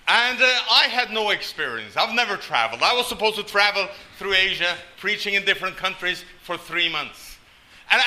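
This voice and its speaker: A man's voice: sits high, bright, forceful tone, slight background noise, low-quality recording. An older man from Norway preaching a sermon, telling stories with folksy, self-deprecating humor. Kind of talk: sermon with storytelling.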